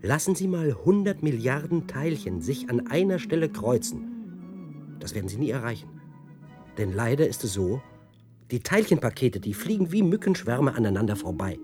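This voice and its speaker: A man's voice: medium pitch, big pitch swings, moderate pace, music in the background, close to an everyday voice. An actor playing a mocking, brooding male press officer of a research centre in a German science-fiction radio drama. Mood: taunting, intense.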